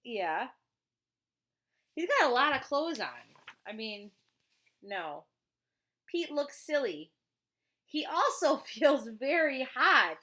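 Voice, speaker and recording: A woman with a fairly high, bright voice reads a storybook aloud to a child at an unhurried pace. She is playful and chatty, in the manner of a teacher. She slips easily between the text and casual questions to her young listener. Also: big pitch swings. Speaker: teacher reading a picture book aloud to a child.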